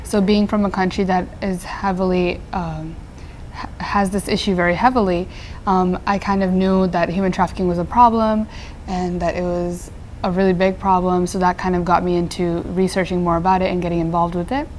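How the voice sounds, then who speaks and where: A woman's voice: fairly low pitch, flat delivery, a little fast, darkish tone, slight background noise. A teenage girl from Nepal, a nonprofit founder answering interview questions earnestly, with frequent fillers and restarts. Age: teens.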